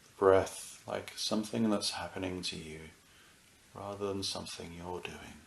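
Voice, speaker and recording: A man leading a guided meditation as a teacher, speaking soothingly in short phrases with unhurried pauses. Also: low-pitched, fairly even intonation, gravelly, echoey room, slight background noise. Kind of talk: guided meditation, unscripted and gentle.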